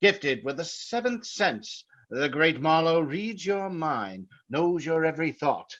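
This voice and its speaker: A man's voice: a little high, very animated, slow pace, a bit bright, exaggerated voice. A man acting as a grandiose nightclub master of ceremonies, announcing theatrically in old-time showman style, on a dull recording. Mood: grand, teasing.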